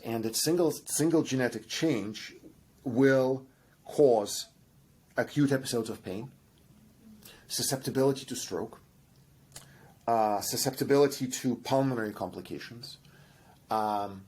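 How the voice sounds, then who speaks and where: A man's voice: fairly low pitch, a little mellow, breathy edge. A man with a Russian accent, a genetics professor giving long, enthusiastic explanations with many restarts and fillers. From Russian by ancestry. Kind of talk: explanatory interview answers.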